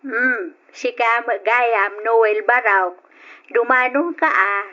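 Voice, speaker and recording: A woman playing a friendly, teasing older village mother in an old radio drama, with a bright voice. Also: on the high side, slow pace, highs cut off.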